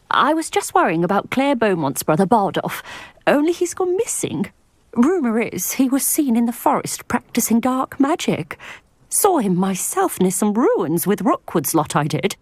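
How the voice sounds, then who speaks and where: An older British village witch for a fantasy game. Her medium-pitched voice moves in animated swings. She sounds worried, earnest and a little gossipy. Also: no room sound. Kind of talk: video game voice acting.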